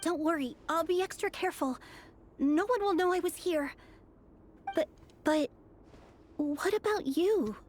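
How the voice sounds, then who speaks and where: A woman playing a timid, gentle young captive girl in a video game, earnest and hesitant. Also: extremely high pitch, a little slow, long pauses, slight background noise. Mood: timid, surprised. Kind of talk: dramatic video game acting.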